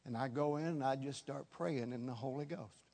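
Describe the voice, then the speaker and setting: A man's voice: mid-range voice, normal speed, gravelly, a little mellow. A man in his seventies preaching a charismatic sermon, repeating phrases for emphasis. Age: 70s. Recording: echoey hall with long reverb.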